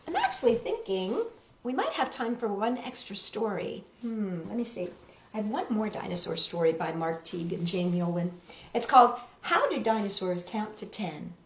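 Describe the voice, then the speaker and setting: A woman's voice: medium pitch, roomy sound, muffled recording, a little hiss or hum. A woman hosting a children's storytime, reading aloud and chatting directly to young listeners.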